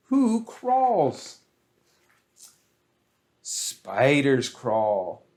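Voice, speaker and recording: A man hosting a children's storytime, speaking in an exaggerated, sing-song way and encouraging young listeners along. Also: medium pitch. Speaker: children's storytime librarian and host. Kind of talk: children's storytime with action songs and picture-book reading.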